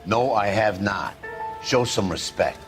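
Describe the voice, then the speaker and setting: An actor playing a blunt, grumbling middle-aged American detective in a 1940s crime video game. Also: male voice, lowish voice, takes it slowly, soft background music. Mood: gruff, disapproving.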